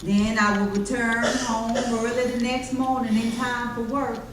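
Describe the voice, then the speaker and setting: A woman performs an earnest, plainspoken former slave recalling the past in folksy dialect. The delivery is slow and carries from far away through a very echoey hall. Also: a little high, flat delivery, close to an everyday voice. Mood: wistful recollection. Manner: folksy dialect, measured storytelling. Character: formerly enslaved person recalling plantation life, earnest, plainspoken. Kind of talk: readers theater monologue performed on stage. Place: church hall. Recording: distant mic, very echoey hall, faint noise.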